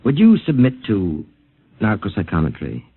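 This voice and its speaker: Low-voiced radio scientist, tinny recording. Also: male voice, huge pitch swings, breathy edge, exaggerated voice. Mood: probing. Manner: clinical, commanding, deliberate. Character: authoritative scientist conducting a probing mind experiment. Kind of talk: 1950s radio drama acting.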